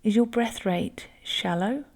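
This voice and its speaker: A woman instructor leading a guided breathing exercise, speaking gently and unhurriedly. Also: mid-range voice, takes it slowly.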